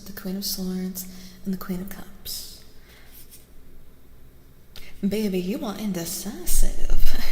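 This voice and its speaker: A woman chatting softly in a casual ASMR livestream, gentle and playful, slipping at times into a breathy half-whisper. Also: mid-range voice. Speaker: livestream host reading tarot for chat. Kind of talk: soft-spoken ASMR, casual chat with viewers. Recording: roomy reverberant sound with faint noise.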